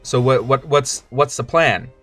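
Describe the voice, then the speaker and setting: A man's voice: medium pitch, a little slow, a bit bright, faint music behind. Rambling, jokey male game streamer. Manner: rambling, jokey, stammers and restarts. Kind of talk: casual gameplay commentary.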